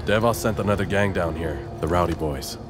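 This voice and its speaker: An actor playing a tough, pragmatic gang leader in a video game, terse and commanding. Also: male voice, low-pitched, a little fast, noisy background, lightly acted. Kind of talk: video game cutscene acting. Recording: music behind the voice.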